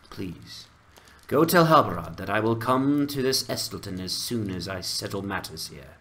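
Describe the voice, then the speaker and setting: A man's voice: medium pitch, a bit bright, natural voice. A man voicing an earnest, formal woman who leads a small town, in a fantasy game dramatization. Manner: formal, solemn, measured.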